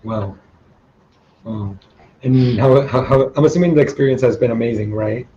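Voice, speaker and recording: A man working as an online English tutor, talking in a relaxed, friendly, chatty way with plenty of "um" and "you know" over a video call. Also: mid-range voice, moderate pace, dull audio, slight background noise. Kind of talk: casual conversation.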